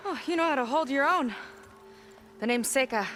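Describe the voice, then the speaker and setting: An actress voicing a confident young woman boat captain who talks in a calm, assured way and takes charge. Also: sits high, normal speed, background noise. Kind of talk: video game cutscene acting. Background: game score music.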